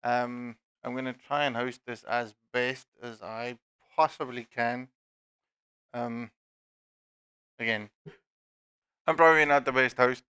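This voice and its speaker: A man's voice: medium pitch, fairly even intonation, slow pace, long pauses, sharp tone, smooth, no echo. A middle-aged man with a Dutch accent hosting a live sim racing stream, talking in a loose, self-deprecating way with plenty of fillers through a headset. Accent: Dutch-accented English. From South Africa. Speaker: stream host and league admin. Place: home room at a desk. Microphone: gaming headset.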